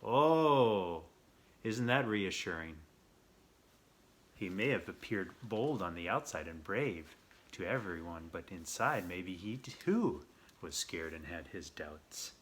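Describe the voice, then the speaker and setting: Very animated, slow-paced male storyteller. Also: deep voice, a little hiss or hum. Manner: theatrical, playful, expressive, with exclamations and rhetorical questions. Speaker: storyteller, host of a read-aloud show. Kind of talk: animated children's picture book read-aloud with asides.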